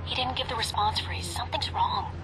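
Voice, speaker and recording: A woman playing a composed, cautious intelligence handler in a video game, speaking in a measured, businesslike way. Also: medium pitch, noisy background, lightly acted. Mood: worried, cautioning. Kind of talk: video game cutscene acting. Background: prominent music.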